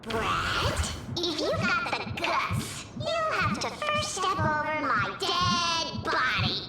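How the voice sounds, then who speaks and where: A very high-pitched young trickster girl from a fantasy game, cocky and defiant. Her delivery swings wildly up and down at a measured pace, with theatrical taunts. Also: wildly swooping pitch, heavy reverb, over loud music, full character voice. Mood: taunting.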